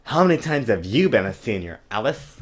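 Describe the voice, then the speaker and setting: A man's voice: medium pitch, very animated, brisk, breathy edge. Man putting on a perky, teasing young vampire woman. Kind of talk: mocking dramatic book reading.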